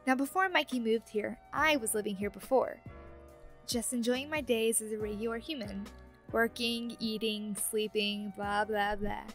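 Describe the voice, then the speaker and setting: A woman playing a friendly, teasing young ghost roommate in an ASMR roleplay audio drama, chatty and expressive. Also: on the high side, moderate pace, faint music behind.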